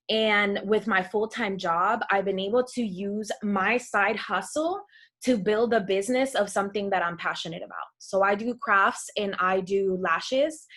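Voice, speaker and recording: A woman's voice: medium pitch, fairly bright tone. A woman from Costa Rica, a health coach, sharing a heartfelt personal testimonial on a video call. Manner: earnest, heartfelt, grateful.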